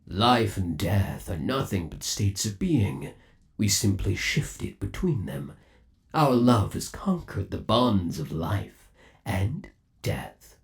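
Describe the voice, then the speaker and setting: A man acting as an obsessively devoted undead animatronic lover in an ASMR roleplay. His low voice moves slowly and swings widely in pitch. He coaxes theatrically, savoring every endearment with eerie tenderness. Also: sing-song, gravelly, full character voice. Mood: soothing, obsessive. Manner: theatrical, coaxing, lingering on endearments.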